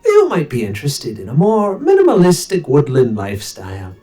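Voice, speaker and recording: A woman's voice: deep voice, huge pitch swings, fairly quick, dark tone, soft background music. A woman voicing a laid-back, drily mocking woodland-loving adventurer in a video game. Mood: airy teasing. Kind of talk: video game character acting.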